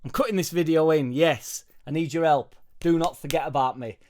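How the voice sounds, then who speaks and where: A young man, hoarse from losing his voice, ranting casually about football with loud bursts and swearing. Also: on the high side, a little fast. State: hoarse, partly lost voice. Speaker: football fan vlogger. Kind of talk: casual rambling fan vlog.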